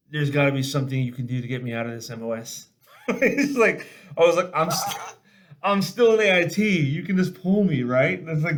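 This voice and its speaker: A man hosting a casual video chat, telling military stories in a blunt, salty, animated way and acting out the dialogue. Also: fairly high pitch. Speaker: podcast host and army veteran.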